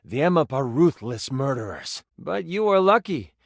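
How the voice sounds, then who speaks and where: A man's voice: mid-range voice, very animated, moderate pace, creaky, dry recording, clean studio sound. An actor playing a game master, a man narrating a fantasy quest in a theatrical, suspenseful and emphatic way.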